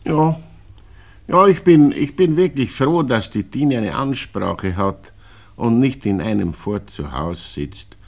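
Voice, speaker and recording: An actor playing a genial, tender older father with a Viennese accent and a homely, slightly halting manner, in a 1960s radio play. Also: medium pitch, animated, vocal fry, full character voice. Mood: tender, wistful.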